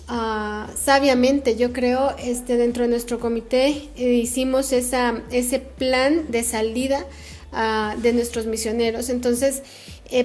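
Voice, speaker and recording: A middle-aged woman from Guatemala with a Guatemalan Spanish accent, answering interview questions earnestly about her missionary work, often pausing on "este" and "eh". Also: on the high side, a little mellow. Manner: earnest, frequent fillers like "este" and "eh". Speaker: missionary guest.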